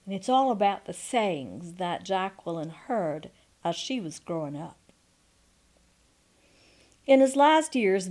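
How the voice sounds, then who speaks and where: A woman in her sixties reading a folksy memoir aloud as a storyteller, expressive and deliberate, with pauses. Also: medium pitch. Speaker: storyteller and audiobook narrator. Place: quiet room at home, indoors. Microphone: microphone on a stand before her, seated.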